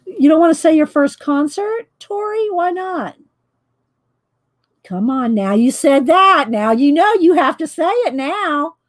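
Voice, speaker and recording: A woman, chatting loudly while she paints and tells stories on her coloring livestream, laughing often and answering viewers. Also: high voice, big pitch swings, measured pace. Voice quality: loud voice. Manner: chatty storyteller, laughs often, reads live chat. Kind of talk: casual chat while painting. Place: home craft table, indoors.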